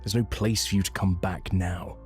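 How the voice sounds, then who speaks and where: A man's voice: deep voice, fairly even intonation, moderate pace, a little mellow, faint music behind, lightly acted. A man playing a stern, indignant family member in a manga dub, speaking in an accusing way. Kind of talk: comic manga dub acting.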